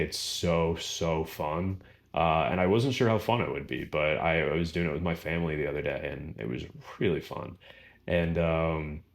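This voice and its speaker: A young man with a baritone voice answering viewer questions in a relaxed, rambling vlog chat full of fillers. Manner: relaxed, rambling, lots of fillers and small laughs. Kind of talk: casual Q&A chat.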